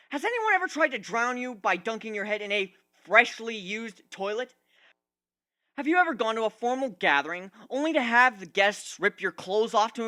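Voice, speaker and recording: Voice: medium pitch, pushed and bright, dry recording, clean studio sound, voice pushed up. A narrator voicing a wounded, sarcastic young male griffin who rants emphatically in a storybook reading. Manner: ranting, emphatic, aggrieved.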